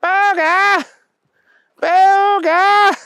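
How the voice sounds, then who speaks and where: Narrator doing some guy's exasperated best buddy, super high voice, very literal, story style. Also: slow, a bit bright, dry recording, studio quality, heavily acted. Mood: urgent.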